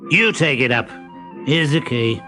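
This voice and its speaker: A man's voice: medium pitch, expressive, a little slow, bright, forceful tone, soft background music. A man voicing a lazy, grudging servant in a storybook, curt and irritable in manner. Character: lazy, snappish male servant, grudging and dismissive.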